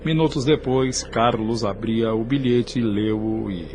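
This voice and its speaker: A man's voice: mid-range voice, takes it slowly, background noise. A man narrating a scripted drama in a solemn, literary storytelling manner. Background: music.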